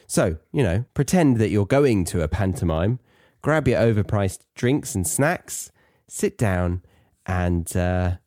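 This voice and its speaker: British man, low voice, lively intonation. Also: takes it slowly, no echo, studio quality. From Britain. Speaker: podcast host and English teacher. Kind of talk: chatty educational podcast monologue.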